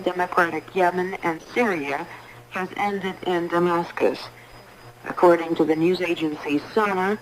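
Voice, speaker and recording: A woman reading a formal scripted radio news bulletin in a measured, impersonal way, on a 1980s shortwave broadcast. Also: fairly low pitch, low-quality recording. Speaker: newsreader.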